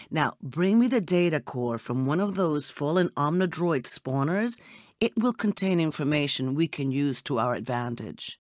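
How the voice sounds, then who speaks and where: An actress playing a poised, elegant secret agent in a video game, speaking with formal, composed politeness. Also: female voice, low voice, darkish tone, dry recording, studio quality. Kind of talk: scripted video game character dialogue.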